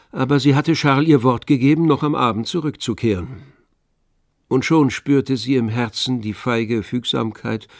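Gravelly male narrator in a dry studio. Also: mid-range voice, no echo. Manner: measured, composed storytelling. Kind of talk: literary radio drama narration. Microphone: close, dry studio microphone.

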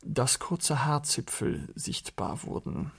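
An actor as a wry storyteller, narrating a crook's tale in a literary radio drama. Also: male voice, on the high side, expressive, slow, a bit bright, exaggerated voice. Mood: wry narration. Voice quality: gravelly, husky.

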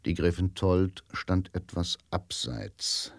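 A man narrating a radio drama in a measured, literary and dramatic manner. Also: low-pitched, flat delivery, vocal fry, dry recording, studio quality, heavily acted. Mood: grave, suspenseful. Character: grave literary narrator of a crime story. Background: music.

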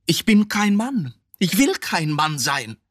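A man's voice: high-pitched, big pitch swings, slow, fairly bright tone, dry recording, studio quality, heavily acted. An actor playing an embittered, middle-aged male court reporter suspected of murder in a German radio drama, brooding and agitated, given to rhetorical questions. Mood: agitated, despairing.

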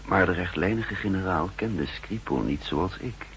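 An actor playing a brooding undercover double agent who narrates his story in measured, introspective Netherlands Dutch, in a 1960s radio drama. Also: sits low, lively intonation, fairly bright tone, rough recording. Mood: brooding, calculating. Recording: hissy 1960s radio recording.

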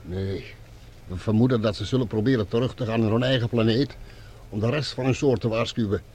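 An actor playing a dutiful, watchful guard with an official, matter-of-fact manner in a Dutch radio drama. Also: male voice, on the low side, a little fast, darkish tone, breathy edge.

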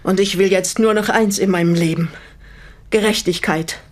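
A woman acting an older widow in a radio drama, bent on revenge. She speaks in a medium-pitched voice, formal and resolute, and cuts with bitter sarcasm. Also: steady tone, normal speed, natural voice. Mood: stern, menacing. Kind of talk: German radio play acting.

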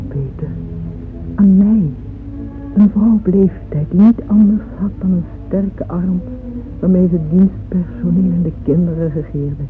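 A woman's voice: lowish voice, close to an everyday voice. An actress playing an ageing, devoted and wistful wealthy mother in a radio play, speaking emotively and intimately. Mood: moved, reverent. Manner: emotive, intimate, with hushed asides. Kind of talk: dramatic radio play monologue. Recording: muffled phone-like old radio recording, music behind.

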